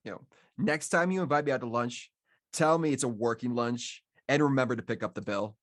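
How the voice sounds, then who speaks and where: An actor playing a laid-back street operative in an audio drama, with teasing, slangy banter. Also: male voice, mid-range voice, fairly quick, close to an everyday voice.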